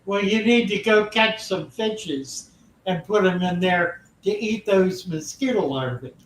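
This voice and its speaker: Slow, genial older man, high voice. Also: slight background noise. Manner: slow, genial, encouraging replies. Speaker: host. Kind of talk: casual call-in chat. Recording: roomy sound from far off, faint ambience.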